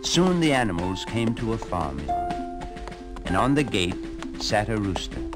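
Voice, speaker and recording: Slow expressive man telling a story. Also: fairly low pitch, over loud music. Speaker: narrator. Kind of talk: storybook narration for a shadow puppet show.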